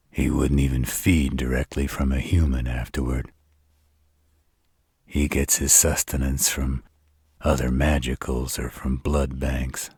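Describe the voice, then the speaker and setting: A man acts out a gruff supernatural bodyguard for a roleplay audio drama. The voice is extremely low and heavily husky, with slow, deliberate delivery. He sounds world-weary and protective, talking in blunt slang straight to the listener. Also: dry recording, studio quality, exaggerated voice.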